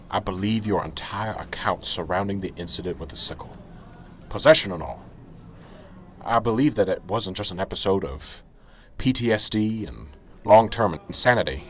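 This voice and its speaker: A man's voice: on the low side, a little hiss or hum. A man playing a middle-aged, corrupt small-town police sergeant in an amateur radio drama. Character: corrupt small-town police sergeant, chummy until cornered, then indignant.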